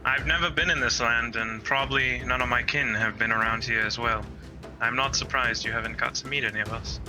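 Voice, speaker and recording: A man playing a gentle, diplomatic cat-man adventurer in a tabletop game, speaking politely and somewhat haltingly. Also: medium pitch, fairly even intonation, music in the background, close to an everyday voice, low-quality recording. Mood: gentle, friendly.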